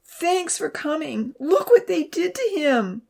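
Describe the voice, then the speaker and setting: A woman's voice: big pitch swings, normal speed, darkish tone. A woman voicing a frightened teenage girl who pleads urgently through tears. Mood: tearful. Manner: high, urgent and sobbing.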